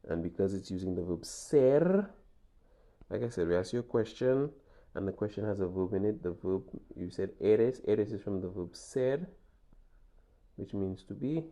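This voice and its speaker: A man's voice: on the low side, animated, moderate pace, warm tone. A man teaching a Spanish lesson, patiently walking through examples and checking in with "okay?" as he goes. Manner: patient, repeats points, frequent "okay?" checks. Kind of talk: classroom lesson walkthrough.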